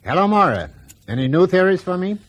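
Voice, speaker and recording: An actor playing a brisk, commanding aviation boss in a radio drama, curt and authoritative. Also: male voice, high voice, sing-song, lo-fi sound. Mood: brisk, reassuring.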